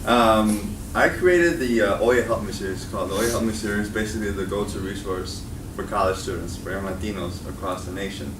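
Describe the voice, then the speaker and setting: Young guy w a chicago accent giving a chill talk to students, kinda low voice, noisy recording. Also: a little fast. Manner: conversational, often tags points with "right?". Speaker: guest speaker. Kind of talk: casual presentation to students. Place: meeting room at a college. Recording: echoey room from far off, noisy.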